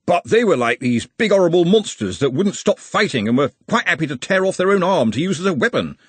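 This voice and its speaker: A man's voice: fairly high pitch, big pitch swings, brisk, slightly rough, voice pushed up. A man voicing a big, touchy, suspicious guard in a storybook, blunt and colloquial. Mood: wary, baffled.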